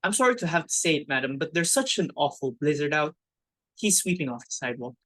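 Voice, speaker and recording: A woman's voice: deep voice, sing-song, quick pace, a bit bright. A woman playing a formal, deferential household servant in an amateur reading of a stage comedy.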